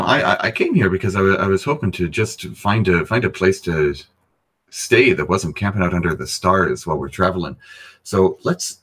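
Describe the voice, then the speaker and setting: A man's voice: low-pitched, fairly bright tone. A man voicing a chatty, jovial male dwarf traveler in a tabletop game, rambling with restarts. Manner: rambling, restarts, chuckling asides. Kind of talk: tabletop roleplay in character.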